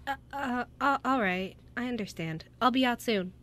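An anxious young fashion model played by a woman in an amateur radio play. She speaks hesitantly and apologetically, stumbling over words in a bright voice. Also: a little high, moderate pace, close to an everyday voice. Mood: apologetic, distracted. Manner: hesitant, stammering.

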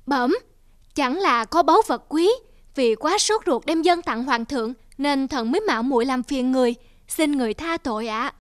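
A woman voicing a scheming, flattering middle-aged male court official in a cartoon. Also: sits high, normal speed, dry recording, clean studio sound. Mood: fawning. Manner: flattering, smooth-tongued, sneering to rivals.

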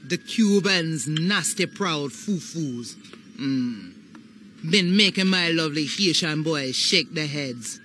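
An actress playing a sly older Haitian voodoo matriarch with a Caribbean accent, coaxing and ominous in a video game. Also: female voice, low voice, expressive, takes it slowly, fairly bright tone, slight background noise, exaggerated voice. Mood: scornful, coaxing. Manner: coaxing, ominous, dialect phrasing. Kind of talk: video game cutscene acting.

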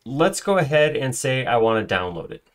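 A man's voice: medium pitch, sing-song, moderate pace. Male tutor, conversational screencast delivery, mid-range voice, loose and unscripted. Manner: conversational, filler-heavy, self-correcting. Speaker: software trainer. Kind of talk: screen-recorded software tutorial.

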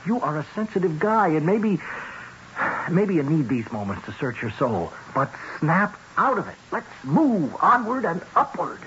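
Lively, coaxing, hard-nosed political fixer. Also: male voice, fairly high pitch, normal speed. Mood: pressing, calculating. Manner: coaxing, glib, pressing. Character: persuasive, hard-nosed political fixer, a man pushing his candidate. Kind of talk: 1970s radio drama acting. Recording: dull, hissy 1970s radio broadcast.

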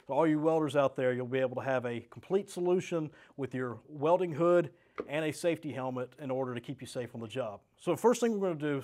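A man's voice: on the high side, a little mellow. A middle-aged American man presenting a step-by-step product demonstration as a sales rep, in a plain-spoken, practical way. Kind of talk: step-by-step instructional product demo. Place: small indoor studio set with desk and shelves.

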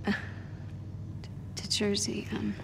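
An actress playing an unsure, dejected teenage girl superhero in a video game, halting and stammering. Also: mid-range voice, completely flat delivery, vocal fry, a little hiss or hum. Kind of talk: video game cutscene acting.

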